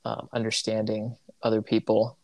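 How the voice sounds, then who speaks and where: A man's voice: lowish voice, completely flat delivery, normal speed, vocal fry, darkish tone, no echo. A man who grew up in Tanzania, a missionary, telling a story in casual conversation and often restarting his sentences. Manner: rambling, self-correcting, reflective.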